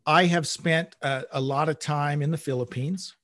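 A middle-aged man talking conversationally as an interview guest, in halting bursts with repeated words. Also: a little high, steady tone, a bit bright, no echo, clean studio sound. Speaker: interview guest, manager at a global company.

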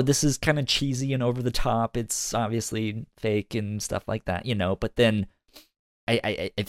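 Brief: male podcast co-host, mid-pitched, casual and rambling, halting delivery, close dry sound. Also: no room sound. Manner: halting, rambling, often repeats words. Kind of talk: casual review discussion.

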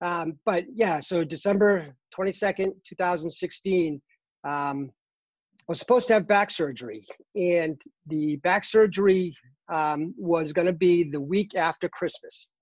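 A man in his fifties with a Boston accent, a guest in a casual interview, talking earnestly with many pauses and fillers. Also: high-pitched, no room sound, highs cut off. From Boston, Massachusetts. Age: 50s. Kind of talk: casual interview over a call.

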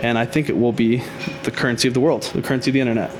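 A man speaking as a guest on a podcast, explaining his crypto consulting business in a confident, pitching way, often saying "I think". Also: fairly even intonation, racing, music in the background, low-quality recording. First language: Haitian Creole speaker. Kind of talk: casual podcast interview.

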